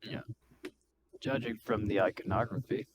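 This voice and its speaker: A man's voice: lowish voice, moderate pace. A man playing a tabletop roleplaying game, chatting casually and wryly, sometimes speaking in character. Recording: clear background noise with clicking.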